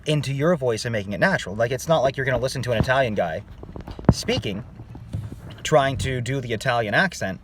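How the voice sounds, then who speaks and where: A man's voice: mid-range voice, animated, fairly quick, background noise. A man teaching singing in a casual online lesson, talking to viewers directly and checking in with "okay?" as he goes. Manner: conversational, addresses viewers as "guys", frequent "okay?" check-ins.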